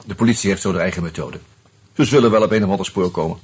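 An actor playing an earnest, troubled man turned amateur sleuth in a Dutch radio play, insistent and halting as he reasons aloud. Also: sits low, a little mellow, slightly rough. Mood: insistent, agitated. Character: earnest, agitated amateur sleuth, a murdered man's loyal friend.

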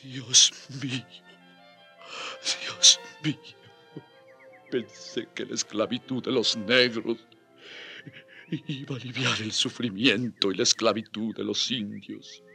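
An actor playing a remorseful old friar in a radio drama, pleading in a halting, emotionally heavy way. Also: male voice, lowish voice, steady tone, vocal fry, sharp tone, faint music behind, exaggerated voice. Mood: tormented remorse. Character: anguished, remorseful old Spanish friar, defender of the oppressed.

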